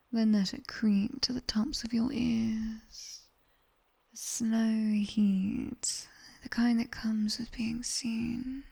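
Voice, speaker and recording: A sharp-tongued British nightmare demoness, played by a woman, tutors in an intimate ASMR roleplay. Her voice is very breathy and mostly whispered, soft and mellow, with some creak at the edges. She gives commands very slowly, with dry, teasing strictness. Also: a little high, exaggerated voice. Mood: strict, coaxing. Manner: slow, commanding, dryly teasing. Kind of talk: ASMR roleplay, guided body scan.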